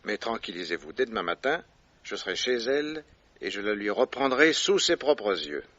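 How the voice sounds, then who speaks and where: A confident, playful sleuth in a radio drama, setting out his reasoning with theatrical flair. The delivery is animated and forceful, in a dry, slightly dull vintage recording. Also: lowish voice, normal speed, dry recording, exaggerated voice. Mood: confident, triumphant. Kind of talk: French radio drama acting.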